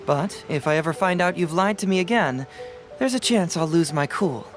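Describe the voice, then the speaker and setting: A man voicing a young, sharp-witted detective in a game, playful and self-assured with light laughs. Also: on the high side, lively intonation, moderate pace, faint music behind, natural voice. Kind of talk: game voice acting.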